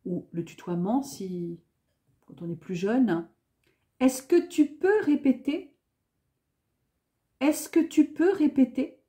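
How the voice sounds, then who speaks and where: A woman gives a beginner French lesson at an unhurried pace, repeating each phrase twice. Her voice sits high but sounds soft and mellow. She speaks carefully and clearly, like a patient teacher.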